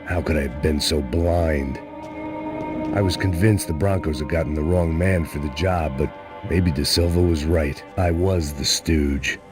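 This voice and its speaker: An actor playing a bitter, middle-aged ex-cop in a video game, talking in a gruff, weary way. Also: male voice, rumbling low, measured pace, over loud music. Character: weary hard-drinking ex-cop bodyguard, middle-aged man. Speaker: game protagonist.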